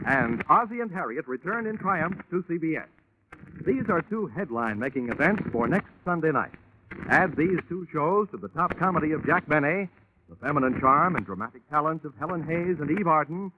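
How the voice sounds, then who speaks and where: Male American network announcer, 1940s style: lively, higher-pitched, emphatic, dulled vintage broadcast sound. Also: background noise. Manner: showy, emphatic. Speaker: radio announcer. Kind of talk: 1940s radio announcing, promotional read. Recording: muffled old radio recording, music behind.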